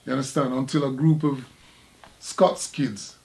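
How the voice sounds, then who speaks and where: An older man from Jamaica with a Jamaican accent, an artist recalling his past in a halting, reflective conversation. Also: medium pitch, fairly even intonation, creaky, a little mellow. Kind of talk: casual recollection in conversation.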